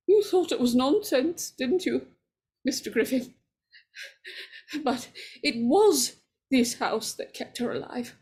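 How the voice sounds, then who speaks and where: Woman as a high, melodramatic horror-radio heroine. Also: darkish tone, full character voice. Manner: breathless melodramatic wailing and pleading. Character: frightened female homeowner, tremulous then triumphant. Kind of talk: 1940s horror radio acting.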